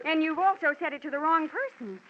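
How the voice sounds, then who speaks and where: A woman plays a prim, earnest young pig breeder with a high voice, lecturing in a 1950s radio drama. The sound is dull, like an old radio recording. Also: fast talker, a little hiss or hum, close to an everyday voice.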